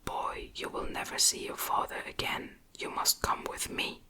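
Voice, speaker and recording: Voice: normal speed, bright, forceful tone. A narrator voicing a lonely, bitter male monster in a whispered gothic audiobook, commanding and formal. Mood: menacing. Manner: whispered, commanding, formal old-fashioned speech.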